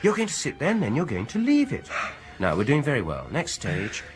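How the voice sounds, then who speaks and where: An actor playing a menacing dentist bent on revenge in a radio horror drama, deliberate and politely taunting. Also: male voice, medium pitch, huge pitch swings, music in the background. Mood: coaxing, soothing. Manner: soft-spoken politeness turning sinister, deliberate and taunting.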